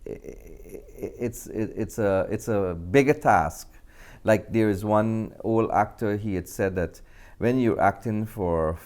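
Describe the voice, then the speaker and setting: Deep-voiced Guyanese man, halting and reflective. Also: a little mellow. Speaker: film director and actor being interviewed. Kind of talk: conversational interview.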